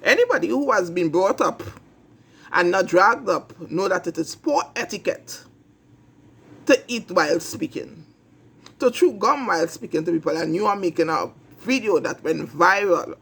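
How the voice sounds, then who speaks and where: High, gravelly Trinidadian man ranting. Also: lively intonation, normal speed, a bit bright. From Trinidad and Tobago. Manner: emphatic, repetitive, blunt and profane. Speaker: host of an online talk show. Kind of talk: opinionated commentary monologue.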